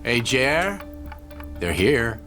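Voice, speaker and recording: A man's voice: mid-range voice, sing-song, drawn-out words, music in the background. A man playing a vampire's casually sly manservant in a dramatic table read, with breezy familiarity. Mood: knowing.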